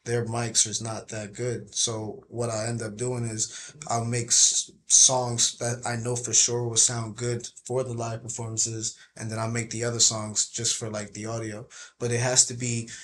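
A man with a low voice, talking casually as a guest on a podcast, with lots of filler words. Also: normal speed, a little hiss or hum. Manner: relaxed, many filler likes. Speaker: guest rapper.

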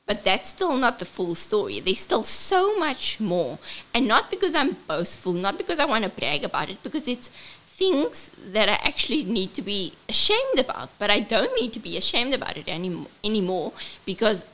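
A South African woman with a squeaky, childlike voice, speaking earnestly as a ministry host and switching between English and Afrikaans. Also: sing-song, normal speed, a bit bright. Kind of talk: personal testimony and event announcement.

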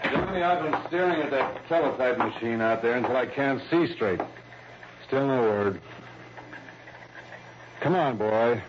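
An American actor playing a gruff, suspicious but protective police lieutenant in a 1960s radio drama, insistent and familiar. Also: male voice, medium pitch, expressive, measured pace, pauses often, natural voice. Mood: probing. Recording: dull, hissy old radio recording.

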